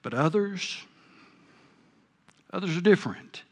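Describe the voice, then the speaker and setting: A man's voice: high-pitched, animated, a little slow. An older man preaching a sermon, earnest and folksy, with a big voice. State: a bit hard of hearing. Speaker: pastor.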